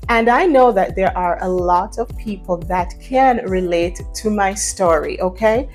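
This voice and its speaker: A woman's voice: mid-range voice, a little slow, soft background music. A Jamaican woman vlogging from her home, talking earnestly to camera in a preachy, emphatic way and repeating phrases for stress.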